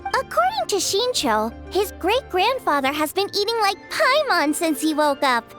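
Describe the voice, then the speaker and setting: A woman's voice: extremely high pitch, big pitch swings, moderate pace, fairly bright tone, heavily acted. A woman voicing a cheerful, chatty, teasing teenage girl in a video game, with a bubbly, casual delivery. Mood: happy, chatty. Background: music.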